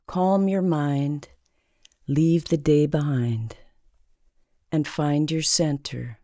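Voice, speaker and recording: A woman leading a guided meditation in a calming, deliberate manner with long pauses between phrases. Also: low voice, no room sound, studio quality.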